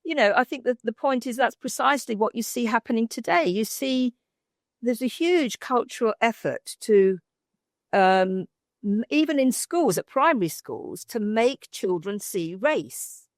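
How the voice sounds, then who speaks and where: A woman's voice: on the high side, lively intonation, a little slow, dry recording, clean studio sound. An older woman with a British accent, arguing her case as a debate panellist in an emphatic, discursive way. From Britain. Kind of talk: informal debate argument.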